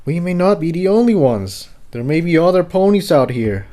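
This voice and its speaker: An eager male pony from a storybook adventure, as a male reader voices him. He speaks earnestly, lifted higher and mellow in tone. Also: very animated, normal speed. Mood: eager, hopeful.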